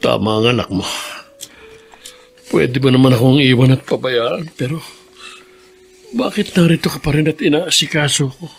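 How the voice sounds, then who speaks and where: A man playing a remorseful, injured husband in a Filipino radio drama, halting and emotional. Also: mid-range voice, vocal fry, husky. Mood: tearful remorse. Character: remorseful, injured husband and father, once cruel.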